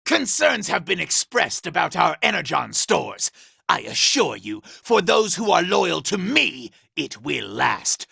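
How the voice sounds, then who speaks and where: A male actor playing a vain, scheming, power-hungry robot commander in a video game, imperious and theatrical, with a raspy, gravelly voice. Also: on the high side, sing-song, unhurried, pushed and bright, no echo, studio quality, exaggerated voice. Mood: grandiose. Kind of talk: video game villain voice acting.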